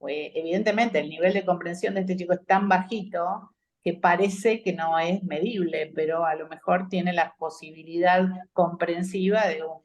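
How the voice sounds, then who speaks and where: A woman's voice: lowish voice, steady tone, normal speed, lo-fi sound. A woman with an Argentine accent, a hospital clinician commenting in a conference discussion, hesitant with many fillers. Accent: Argentine Spanish.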